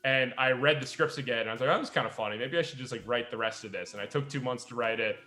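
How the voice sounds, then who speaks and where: A young adult man, a podcast host and writer, chatting casually in an animated, rambling, self-deprecating way. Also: mid-range voice, racing, echoey room, soft background music. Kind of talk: casual chat.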